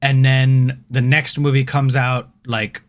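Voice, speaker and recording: A man co-hosting a casual podcast chat, opinionated and animated, swearing freely and tripping over his restarts. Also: mid-range voice, slow. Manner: animated, opinionated, swears freely, repeats words when starting. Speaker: podcast co-host.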